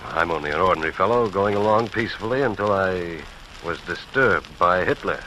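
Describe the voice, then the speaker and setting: Modest everyman, deep voice, bright and forceful, plainspoken, earnest, 1940s radio drama. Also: low-quality recording. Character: modest, steadfast ordinary man who sailed to war.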